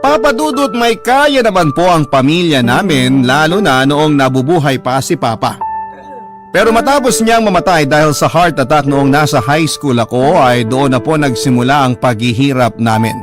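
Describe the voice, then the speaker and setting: A man's voice: fairly high pitch, lively intonation, moderate pace. A man with a Filipino accent narrating a scripted first-person letter as a drama storyteller. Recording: clear music under the narration.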